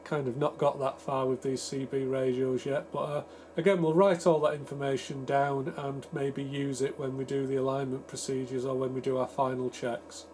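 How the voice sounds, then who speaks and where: Man, medium-pitched voice, casual technical explainer, tentative, self-deprecating, unscripted repair presenter. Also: flat delivery, normal speed, darkish tone, a little hiss or hum. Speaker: electronics repair video presenter.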